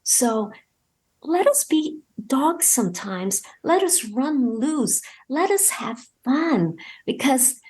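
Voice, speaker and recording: Female performer as friendly female pit bull, rescue dog, raised pitch, sweet pleading delivery, earnest and kind, family audio drama. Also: lively intonation, slow pace, a little mellow. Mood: earnest, kindly.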